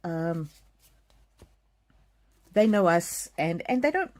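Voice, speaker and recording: A soft-spoken middle-aged woman from South Africa hosts a live oracle card reading. Her voice sits low and mellow, and she talks in a gentle, soothing way straight to each viewer. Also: sing-song, takes it slowly. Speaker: card reader.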